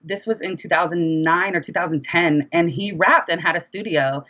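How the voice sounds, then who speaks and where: Woman musician in a casual interview, slightly low voice, tells stories with quoted dialogue, dull lo-fi sound. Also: normal speed. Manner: animated storyteller, quotes conversations in dialogue. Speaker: rapper and singer being interviewed.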